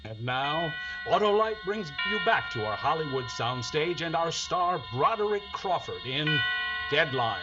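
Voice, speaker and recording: A male announcer with a slightly high voice introduces drama in theatrical 1950s radio fashion. The sound is a little dull, with music clearly behind. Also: big pitch swings, measured pace. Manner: theatrical, suspenseful billing.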